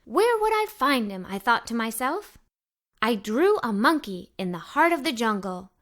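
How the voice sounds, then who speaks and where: Woman doing a kids read aloud, bright n really bouncy, super keen girly narrator. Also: fairly high pitch, normal speed. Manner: animated, enthusiastic. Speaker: storybook narrator. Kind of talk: expressive children's read-aloud, narrating in character as an adventurous young girl.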